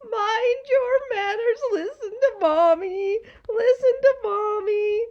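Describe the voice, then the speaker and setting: A gleeful, nasty swamp creature from a children's storybook, jeers in a very high, shrill whine. The taunts are stretched into a slow, mocking sing-song and pushed out loud. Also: female voice, dull audio. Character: taunting shaggy green swamp monsters, gleeful, nasty bullies.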